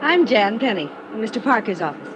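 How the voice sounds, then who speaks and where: Quick, coy young office woman. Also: a little high, big pitch swings, a crowd in the background. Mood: friendly, coy. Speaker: supporting actress. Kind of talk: 1950s radio acting.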